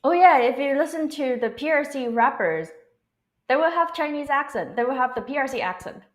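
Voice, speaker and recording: A woman's voice: high-pitched, animated, fairly quick. A woman from Taiwan, a native Mandarin speaker, chatting casually on a livestream in English with an American-influenced Taiwanese accent. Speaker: language teacher co-hosting a livestream. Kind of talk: casual live-stream discussion.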